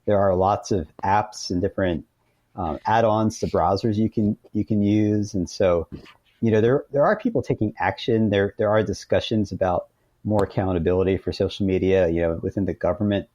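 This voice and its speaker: Man, deep relaxed voice, interview guest, casual explanatory talk, informal, dry close recording. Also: normal speed, dry recording, dull audio.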